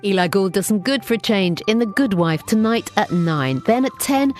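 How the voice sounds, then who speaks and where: A British woman reading a TV continuity announcement, brisk and lightly wry. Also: medium pitch, animated, a little mellow, soft background music. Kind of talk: scripted promo reading.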